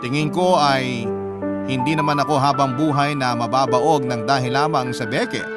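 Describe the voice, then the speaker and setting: An actor playing an earnest husband with a Filipino accent, telling his sorrowful story in a measured, confiding way for a radio drama. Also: mid-range voice, fairly even intonation, loud music behind the voice, close to an everyday voice. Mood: sad, reflective. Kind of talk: radio drama letter narration.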